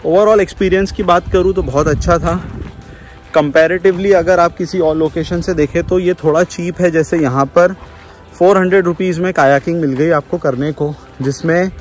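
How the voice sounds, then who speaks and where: A man's voice: fairly high pitch, normal speed, faint music behind. A man giving a casual travel vlog from outdoors, switching between Hindi and English phrases as he goes. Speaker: travel vlogger. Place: outdoors at a riverside and beach, then a village.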